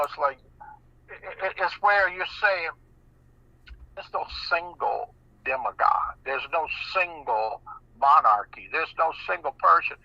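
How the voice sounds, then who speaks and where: Animated, folksy male guest with a bright, gravelly voice, heard over a lo-fi line. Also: a little high, no room sound. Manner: animated, repeats words, folksy, laughs easily. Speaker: guest speaker. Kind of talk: animated radio talk.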